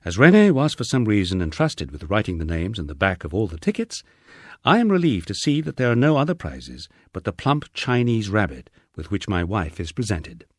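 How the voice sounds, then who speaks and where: A man with a low, very animated voice narrates a humorous memoir. He reads as an audiobook storyteller with a wry touch. The sound is dry and close, with no room around it. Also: moderate pace, no room sound.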